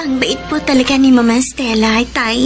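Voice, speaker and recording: An actress playing an outspoken, loyal young daughter, earnest and feisty, in Filipino radio drama style. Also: female voice, high-pitched, steady tone, a little fast, over loud music, close to an everyday voice. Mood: earnest, worried. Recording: noisy old radio drama recording.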